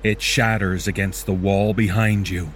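A man reading a scripted second-person horror story in a measured, deliberate manner. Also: sits low, level tone, background noise.

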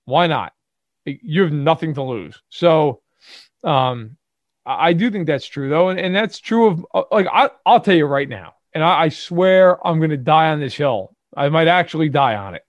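A man's voice: a little high, expressive, moderate pace, no room sound, muffled recording. A man co-hosting a sports podcast, giving emphatic opinions in casual talk full of fillers and restarts. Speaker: podcast co-host. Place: lives in Chicago.

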